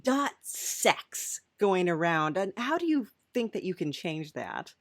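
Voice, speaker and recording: A woman's voice: lowish voice, big pitch swings, slow. A woman hosting an improvised comedy interview, speaking hesitantly in trailing phrases. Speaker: podcast host.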